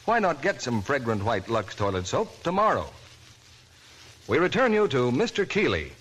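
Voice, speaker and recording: A male announcer reading a 1940s radio commercial in a smooth, persuasive way. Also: mid-range voice, huge pitch swings, moderate pace, fairly bright tone. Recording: old noisy radio broadcast.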